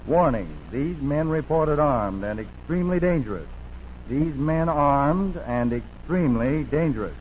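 A man's voice: on the high side, lively intonation, measured pace, dull audio, a little hiss or hum. An American man announcing in urgent, melodramatic 1940s radio style, on an old broadcast recording. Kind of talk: 1940s dramatic radio narration.

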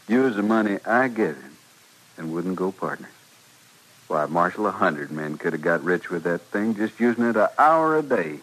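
An American man in an old radio western, playing a bitter, dying storekeeper, weak and halting, with a western accent. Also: low-pitched, fairly bright tone, breathy edge, full character voice, rough recording. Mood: bitter, resigned. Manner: halting, plain, resigned. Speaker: radio actor. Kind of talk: 1950s radio western acting. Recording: vintage radio recording.

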